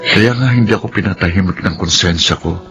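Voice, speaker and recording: Deep-voiced remorseful Filipino man. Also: fairly quick, fairly bright tone. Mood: remorseful, pleading. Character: remorseful returning lover and father, pleading earnestly. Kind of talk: Filipino radio drama acting. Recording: dull radio recording, music bed.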